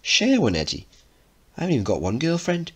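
A man's voice: a little high, huge pitch swings, a little fast, husky, pitched above the performer's own voice, heavily acted. A man voicing an awkward, lovesick working-class teenage boy, blurting and self-doubting, in a dramatic play reading. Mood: incredulous.

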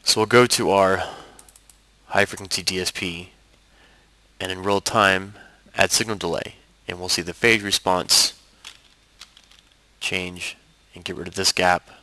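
A man narrating a software tutorial as an instructor, explaining things step by step. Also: sits low, steady tone, measured pace, long pauses, no room sound, poor audio.

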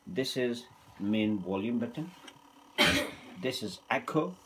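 A man presenting an informal hands-on unboxing in a hesitant, halting way, speaking non-native English in a room at home. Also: medium pitch, lively intonation, a bit bright. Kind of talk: informal hands-on product demonstration. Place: small room at home, indoors. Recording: noticeable background noise, handling clicks.

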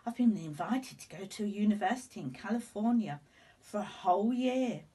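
A woman's voice: mid-range voice, lively intonation, moderate pace, mellow, husky, voice pushed up. A woman voicing a kindly, thoughtful male professor in a children's storybook, genial and questioning. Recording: slightly distant room microphone.

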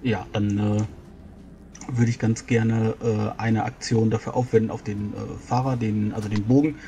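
A man's voice: lowish voice, level tone, normal speed, mellow. A man playing a tabletop roleplaying game, thinking aloud hesitantly in casual talk as he works through his move. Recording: faintly noisy voice recording.

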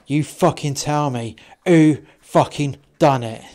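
A man's voice: on the high side, takes it slowly, a little mellow. A man voicing an aggressive, threatening police officer who swears as he interrogates, in a storytelling style. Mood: menacing. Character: aggressive, bullying male police officer, foul-mouthed and threatening.

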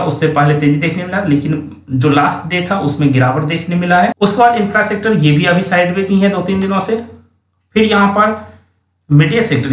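Guy walking thru stock charts, bit high voice, roomy echo, keeps restarting. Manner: explanatory, restarts and self-corrections, drawn-out words. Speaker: stock market analyst. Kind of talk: screen-share chart analysis. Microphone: voice-over with screen recording.

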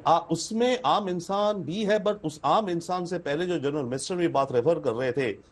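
Male expert pundit, sixties, Indian accent, slightly raised pitch, emphatic repetition, English code-switching, on video call. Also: moderate pace. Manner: mixes English phrases into Hindi, emphatic repetition. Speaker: foreign affairs expert guest. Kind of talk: analytical panel commentary.

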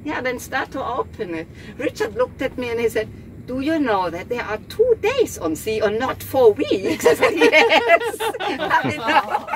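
Animated older woman. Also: sits high, a little slow, noisy background.

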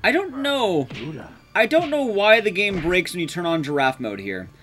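A young man streams a speedrun in a fairly high, very animated voice. He talks casually and live, self-deprecating and quick to swear, with game music playing behind him. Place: small indoor streaming room.

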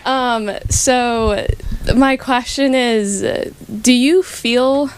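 A woman's voice: a little high, fairly even intonation, very slow, a little hiss or hum. A woman in an audience asking a casual, hesitant question in colloquial words. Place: crowded bookshop event.

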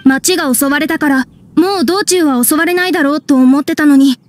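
A woman's voice: sits high, a little fast, a little hiss or hum, close to an everyday voice. A woman playing an aggrieved female caravan traveller in an anime game, complaining in a feminine manner. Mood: exasperated. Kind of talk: Japanese anime game voice acting.

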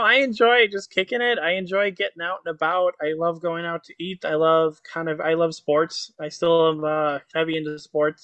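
A man's voice: a little high, fairly bright tone, dry recording, muffled recording. A man in his thirties, born in Croatia, talking as an interview guest on a video call, upbeat and fluent with frequent "um"s and "you know"s. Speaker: guest, motivational speaker. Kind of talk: casual interview chat. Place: indoor home office with virtual backdrop. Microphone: webcam on a video call.

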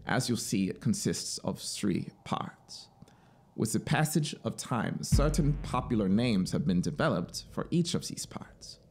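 A man's voice: fairly low pitch, sing-song, unhurried, gravelly, darkish tone, breathy edge, full character voice. A man voicing an eager, talkative military officer in a dramatic storybook reading, insistent and explanatory. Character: eager, talkative male military officer, devoted to his machine. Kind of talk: dramatic audiobook character reading.

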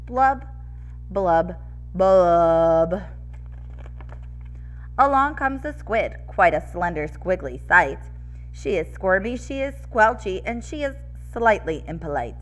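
Young female preschool teacher, bright and slightly scratchy, animated sing-song delivery for little listeners. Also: medium pitch, measured pace, dull audio, faint music behind. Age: young adult. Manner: animated, addressing small children, sing-song rhyme reading. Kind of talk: read-aloud for preschoolers. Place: classroom-style room with decorated wall, indoors. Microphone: headset microphone.

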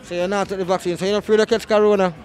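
A woman with a Jamaican accent, interviewing passersby on a city street with a handheld mic, firing off quick probing questions. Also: mid-range voice, flat delivery, a little mellow, faint music behind, a faint crowd. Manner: quick probing questions, show sign-off catchphrases. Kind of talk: vox pop interviewing.

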